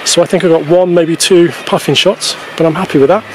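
A man in his forties talking casually to camera as a wildlife photography vlogger, explaining things in a halting way on an outdoor camera microphone. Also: a little high, steady tone, slight background noise. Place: outdoors on a grassy coastal clifftop. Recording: poor camera-mic sound outdoors, music behind.

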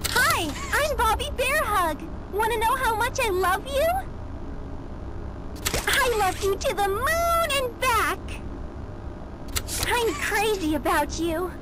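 Clingy game teddy bear, gushing, childlike, very high and lilting. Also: female voice, animated, slow, long pauses, very noisy, exaggerated voice. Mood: adoring. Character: clingy, adoring toy bear, childlike and affectionate. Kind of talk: video game character voice lines.

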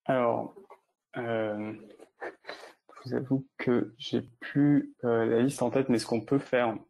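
A man's voice: medium pitch, steady tone, takes it slowly, creaky, darkish tone, muffled recording. A man presenting at an online meetup, answering technical questions informally in a hesitant, explanatory way. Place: video call.

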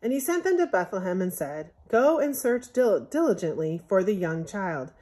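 A woman reading a Bible story aloud from a picture book in measured, scriptural phrasing, as a Sunday school storyteller. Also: mid-range voice, big pitch swings, darkish tone.

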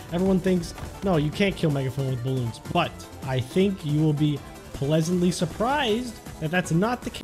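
Young dude joking through gameplay, mock serious and excitable, music playing behind. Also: male voice, high voice. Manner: playful, exclamatory, mock-serious. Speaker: gaming video host. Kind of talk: casual joking gameplay commentary.

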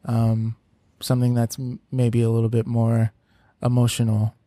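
Flat, mellow-voiced podcast host. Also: mid-range voice, moderate pace, no echo. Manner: supportive, frequent short backchannels. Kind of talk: casual interview conversation.

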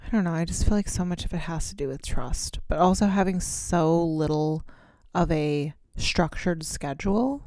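A woman chats casually as a podcast co-host, candid and rambling, swearing now and then. Her voice sits a little low. She is close on the mic in a dry, dead-sounding space. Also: normal speed, warm tone, dry recording. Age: 30s. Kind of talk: casual chat.

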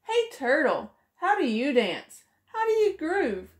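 A woman's voice: high voice, big pitch swings, takes it slowly. A middle-aged woman, a preschool teacher, reading a picture book aloud to children in a sing-song way, voicing each character, recorded close up in a small room.

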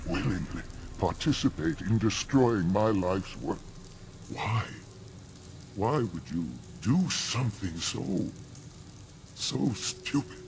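An actor playing a frail, bitter old scientist in a video game, speaking formally in a weary, gravelly voice. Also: male voice, fairly low pitch, lively intonation, a little hiss or hum. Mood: bitter, reproachful. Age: older. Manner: formal, measured. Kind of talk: dramatic video game dialogue.

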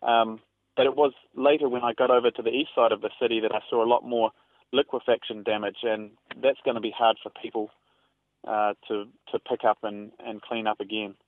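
Halting New man on tinny phone line. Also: mid-range voice, flat delivery, no room sound. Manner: hesitant, halting. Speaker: newspaper reporter interviewed by phone. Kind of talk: spontaneous eyewitness account. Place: on a telephone call. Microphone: telephone.

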